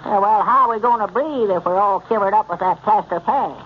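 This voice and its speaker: A man playing a slow, folksy rural storekeeper with a drawling country way of talking, in a 1930s radio comedy. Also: high voice, a little hiss or hum, lightly acted. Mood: puzzled. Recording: muffled old radio transcription.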